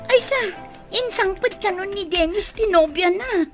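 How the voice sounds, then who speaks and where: A woman playing an excitable, anxious young village friend in a radio drama, speaking with an Ilocano accent in an exclamatory way. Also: very high voice, unhurried, soft background music. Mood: alarmed. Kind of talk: Filipino radio drama acting.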